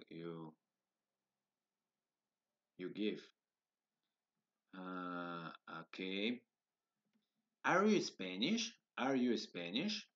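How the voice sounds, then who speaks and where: A young man teaching a Spanish lesson over a webcam, drilling phrases again and again and switching into halting English. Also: mid-range voice, fairly bright tone, highs cut off. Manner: slow, repetitive drilling of phrases. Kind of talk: online language lesson, mixing Spanish and English.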